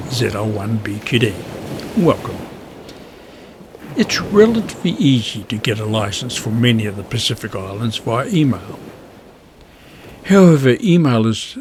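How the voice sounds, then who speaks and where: A man with a husky voice, presenting an instructional vlog in measured phrases. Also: fairly low pitch. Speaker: amateur radio video host.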